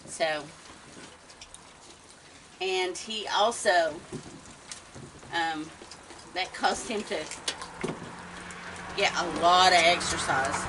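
Woman in her fifties, slow halting chat, low voice, heard from a distance outdoors, steady background noise. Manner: slow, halting storytelling with many ums, breaking off to coo softly at animals. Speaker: wildlife feeding livestream host. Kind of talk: casual chat while feeding raccoons. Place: outdoor wooden deck at night. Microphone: fixed camera from a few meters away.

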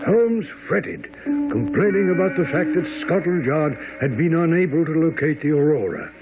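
Earnest gentleman sidekick, high male voice, very animated, radio mystery, music behind, tinny. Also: moderate pace. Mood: measured, storytelling. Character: loyal gentlemanly companion of a detective, earnest narrator. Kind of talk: radio drama narration and acting.

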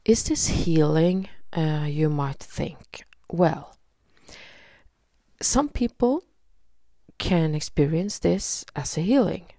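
A woman from Norway with a Norwegian accent, leading a guided energy healing session in a slow, soothing way with many pauses and fillers. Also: deep voice, mellow, no room sound, clean studio sound. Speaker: energy healer.

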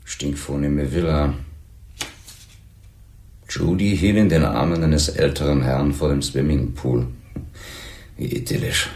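Very deep, gravelly police lieutenant. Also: male voice, darkish tone, echoey room, exaggerated voice. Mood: dry, musing. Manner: measured, ironic questioning. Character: dry, probing male police lieutenant. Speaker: actor in a radio drama. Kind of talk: German crime radio play acting.

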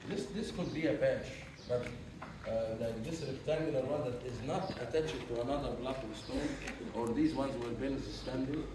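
Male guide lecturing on site, mid-pitched, far from the mic with clear background noise. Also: normal speed. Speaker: tour guide. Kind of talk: explanatory on-site guiding to a group. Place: echoey ancient stone site. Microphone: picked up from a distance by the host's camera. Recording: echoey, noisy, distant from the mic.

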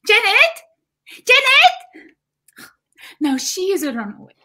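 A fretful Korean immigrant mom. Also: female voice, extremely high pitch, wildly swooping pitch, slow, sharp tone, full character voice. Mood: pleading. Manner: broken English, pleading. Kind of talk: live table read. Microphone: video call.

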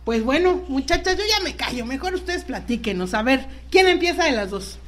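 A woman's voice: sits high, expressive, normal speed, fairly bright tone. A Mexican woman hosting a live talk show, chatty and informal as she presents and interviews guests. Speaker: radio show host.

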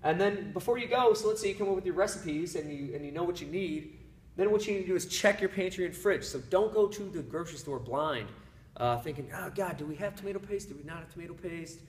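A man's voice: sits high, fast. Upbeat man teaching at a whiteboard. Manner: casual and upbeat, full of fillers and restarts. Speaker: nutrition coach. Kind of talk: whiteboard lesson. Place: indoor room in front of a whiteboard. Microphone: camera mic at a distance. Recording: echoey room with faint hum.

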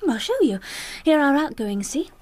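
An actress playing a sweet, thrifty, loving young sailor's wife in a radio drama, with a breathy, husky voice. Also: female voice, sits high, sing-song, normal speed, darkish tone. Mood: playful, coaxing.

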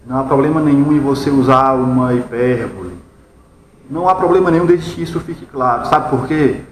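A Brazilian man in his thirties speaks to camera as a commentator, laying out his points deliberately and didactically. His voice sits at a middling pitch. The room is echoey and reverberant, picked up by a camera mic at a little distance. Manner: didactic, deliberate, uses rhetorical questions. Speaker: video commentator. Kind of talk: explanatory opinion commentary. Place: small bare-walled room indoors. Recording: echoey room with background noise.

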